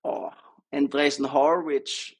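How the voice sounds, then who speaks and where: A man's voice: fairly high pitch, flat delivery, a little slow, slightly rough, low-quality recording. A man with a Danish accent, a native Danish speaker, chatting casually as an interview guest. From Denmark. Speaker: podcast guest, startup co-founder.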